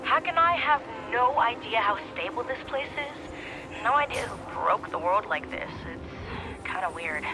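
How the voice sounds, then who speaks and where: A woman's voice: on the high side, lively intonation, measured pace, background noise. A young woman voicing a bubbly, giggly young female vigilante who leads a heist in a video game cutscene and talks in casual slang. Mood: upbeat. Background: loud game score music.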